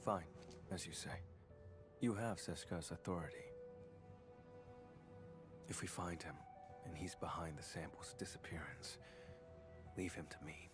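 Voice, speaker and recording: A man's voice: low-pitched, fairly even intonation, measured pace, pauses often, over music. A man playing a brooding, aloof investigator in a video game, terse and cold. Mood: cold, guarded.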